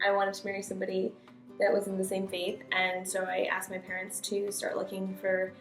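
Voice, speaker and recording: A woman's voice: medium pitch, monotone, brisk. A woman from the United States giving an informal, conversational personal account as an interviewee. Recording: roomy echo, guitar music underneath. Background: soft guitar music.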